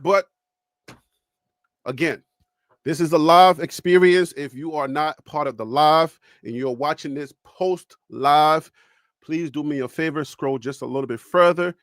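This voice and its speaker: A man with a Liberian accent hosts a live sports show from a small home studio, speaking a bit higher than most men. He talks to his viewers as a storyteller, loose and chatty. The sound is dry and close, with no room echo. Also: fairly bright tone. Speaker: host. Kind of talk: live-stream sports talk.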